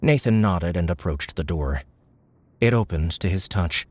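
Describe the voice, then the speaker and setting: A man with a deep voice reads fiction as an audiobook narrator. There is a faint low rumble of noise behind his scripted storytelling. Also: lively intonation, unhurried, darkish tone.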